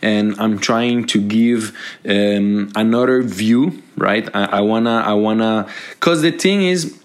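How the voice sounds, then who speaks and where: Colombian podcast host, young man, lowish voice, rambling, upbeat, lots of false starts. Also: takes it slowly. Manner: rambling, many restarts and fillers; upbeat, motivational. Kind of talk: casual solo podcast talk. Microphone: podcast microphone.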